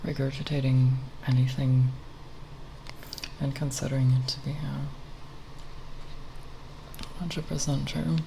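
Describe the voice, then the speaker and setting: A young woman talking softly in a quiet, unscripted ASMR ramble, hesitant and self-deprecating, sometimes breathy. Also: extremely low pitch, slow, pauses often. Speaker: ASMR video creator. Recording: steady background noise with tapping sounds. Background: plastic bottle tapping sounds.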